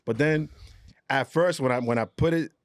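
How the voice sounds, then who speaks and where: A man, a guest on a casual podcast, relaxed and slangy, often trailing off quietly. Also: mid-range voice, moderate pace, dry recording, clean studio sound. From New York.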